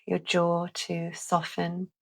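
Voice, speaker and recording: Woman doing a calming guided visualisation, really slow n gentle, low voice, lots of pauses. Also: gravelly. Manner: gentle, deliberate, with long pauses. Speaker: guided meditation host.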